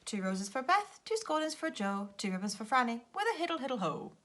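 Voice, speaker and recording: A woman's voice: on the high side, big pitch swings, brisk, fairly bright tone, slight background noise. A woman voicing the silly, playful Saucepan Man of a children's storybook, reciting nonsense rhymes in a sing-song way.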